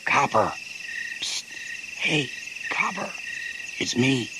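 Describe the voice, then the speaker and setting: An actor voicing an earnest young adult male fox in an animated film. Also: medium pitch, takes it slowly, background noise. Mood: hushed, hopeful. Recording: night crickets and frogs behind voice.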